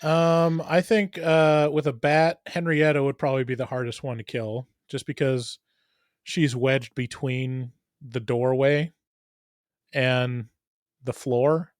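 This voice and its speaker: A man's voice: mid-range voice, level tone, slow, no echo, studio quality. Man in casual podcast talk, dryly recorded. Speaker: podcast co-host.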